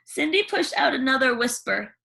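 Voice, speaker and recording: A woman reading a children's story aloud in an expressive, theatrical way with dramatic pauses. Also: sits high, creaky. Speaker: storybook reader.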